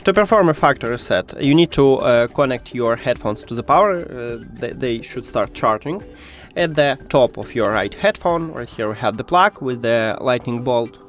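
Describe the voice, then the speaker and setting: Man giving step-by-step tech instructions, lively pitch. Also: moderate pace, soft background music. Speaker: tech tutorial presenter. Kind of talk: step-by-step spoken tutorial.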